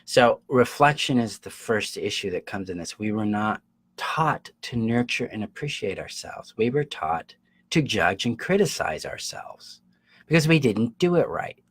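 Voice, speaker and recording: A man's voice: on the low side, very animated, unhurried, slightly rough, no echo. A man giving an explanatory self-help coaching talk straight to viewers, stressing key words emphatically and checking in with listeners. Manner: emphatic, acts out imagined quotes, checks in with listeners.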